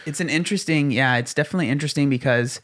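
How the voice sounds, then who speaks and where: A man's voice: on the high side, rapid-fire, fairly bright tone. A young man co-hosting a casual podcast, talking in loose rambling sentences full of "like" fillers. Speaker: podcast co-host. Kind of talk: casual chat.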